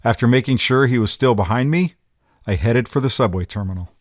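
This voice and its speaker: A man's voice: medium pitch, fairly quick, no echo, clean studio sound, lightly acted. A man playing a cocky, streetwise tough guy in a noir audio drama, slangy and matter-of-fact. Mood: hardboiled, determined. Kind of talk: first-person noir audio drama narration.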